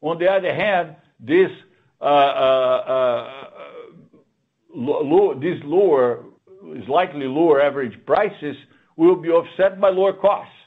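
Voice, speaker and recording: A man speaking as a company executive, answering questions off the cuff on a conference call, repeating words haltingly yet sounding emphatic and assured. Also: on the high side. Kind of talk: unscripted earnings call answers. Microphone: conference call line. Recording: narrow, tinny phone-line sound.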